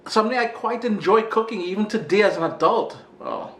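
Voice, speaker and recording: A man's voice: high voice, expressive, fairly quick. A middle-aged man with a Caribbean accent hosting a casual cooking video in his kitchen. His nose is stuffy from allergies, and his talk is chatty and slangy. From Caribbean island. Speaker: cooking show host. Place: home kitchen.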